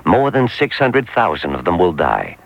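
Very deep gravelly guy, serious radio health spot, dry muffled sound. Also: wildly swooping pitch, normal speed, no echo. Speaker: public service announcer. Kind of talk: serious scripted health appeal. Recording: dry, dull radio recording.

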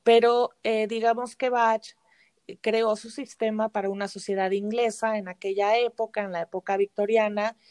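A woman's voice: mid-range voice, little pitch movement, normal speed, a little mellow, dry recording. A woman with a Mexican Spanish accent explaining things conversationally as an interview guest, often ending phrases with a tag question. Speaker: interview guest, flower remedy practitioner and teacher.

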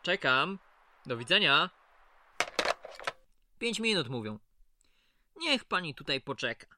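A man's voice: on the high side, sing-song, slow, noisy background. An actor playing a chatty, folksy male prison clerk in an audio drama, familiar and mildly condescending. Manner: familiar, patronising, colloquial.

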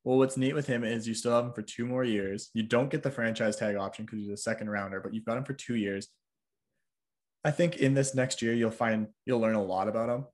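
A man co-hosting a casual sports podcast, opinionated and joking in quick back-and-forth. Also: mid-range voice, steady tone, a little mellow. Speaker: podcast co-host.

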